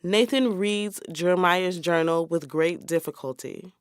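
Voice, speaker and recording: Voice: medium pitch, a little slow, smooth, dry recording. A narrator reading stage directions for a radio play as scripted narration.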